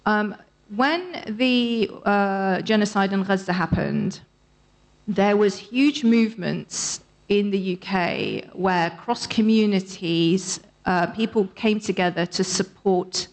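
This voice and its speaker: A woman speaking as an academic panellist at a conference, explaining her answers in a measured way. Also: medium pitch. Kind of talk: answering audience questions on a panel.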